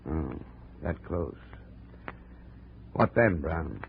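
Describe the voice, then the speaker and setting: A man's voice: very deep voice, animated, very slow, gravelly, exaggerated voice. A man acting a tough, level-headed investigator in a 1950s radio drama, with clipped, terse, hard-boiled delivery. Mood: cool. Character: tough, level-headed American male investigator narrating his jungle trek. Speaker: lead actor and narrator. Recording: muffled, hissy 1950 radio recording.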